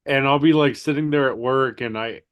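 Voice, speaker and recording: A man co-hosting a casual podcast with a stuffy, nasal voice, telling stories in a halting way with many restarts. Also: medium pitch, smooth. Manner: halting, repeats words, storytelling. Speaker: podcast co-host.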